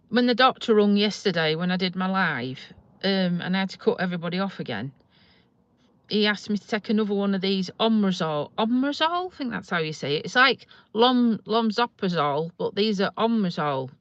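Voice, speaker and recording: A woman's voice: medium pitch, animated, fairly quick, dry recording, muffled recording. A woman from Lincolnshire with a slight Yorkshire accent, chatting casually and rambling to viewers on a live stream from a parked car. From Lincolnshire, England. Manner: chatty, rambling, self-deprecating. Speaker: vlogger doing a live stream.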